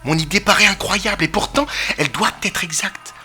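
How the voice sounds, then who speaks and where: A man playing a brilliant, excitable detective in an audio drama, incisive and theatrical as he lectures. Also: sits high, fairly quick, breathy edge, soft background music, full character voice. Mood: agitated realisation. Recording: noisy ambience behind the voice. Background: faint ambient noise.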